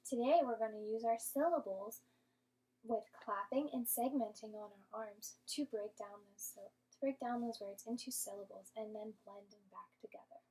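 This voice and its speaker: A woman teaching young children through an interactive read-aloud, speaking in clear instructional phrases. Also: a little high, normal speed, warm tone, rough recording. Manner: clear instructional phrasing, questioning, sounding out syllables. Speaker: primary school teacher.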